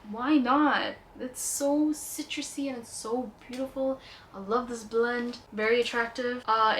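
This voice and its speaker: A woman's voice: on the high side, a little hiss or hum. A young woman with a light Indian accent, chatting playfully and enthusiastically as she reviews perfumes. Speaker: beauty vlogger reviewing perfumes. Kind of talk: casual product review vlog.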